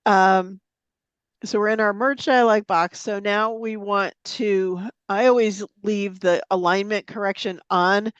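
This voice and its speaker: Woman walking thru photo software, bit of a cold, lots of ums, dry close sound. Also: mid-range voice, fairly even intonation, a little slow, clean tone, no echo, dull audio. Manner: chatty, laughs easily, lots of ums. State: has a slight cold, sniffling. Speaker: presenter teaching photo editing software. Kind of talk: live software demonstration. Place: meeting room or online forum.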